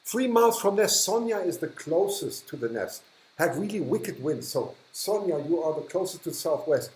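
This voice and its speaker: A man's voice: fairly high pitch, animated, moderate pace, darkish tone, roomy sound. A man with a German accent hosting a casual livestream, chatting with viewers, laughing often and trailing off mid-sentence. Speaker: livestream host. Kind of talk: casual live chat reading viewer comments.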